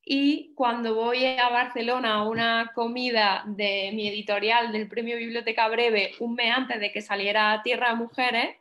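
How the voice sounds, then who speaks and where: A woman's voice: fairly high pitch, little pitch movement, normal speed, hardly any pauses, a bit bright. A woman from Spain talking as a guest at a poetry event, with a voice sitting a little above the usual range. She reflects as she goes and keeps checking in with her listeners. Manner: thoughtful, frequent tag questions. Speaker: poet and guest. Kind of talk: conversational answers at a poetry event.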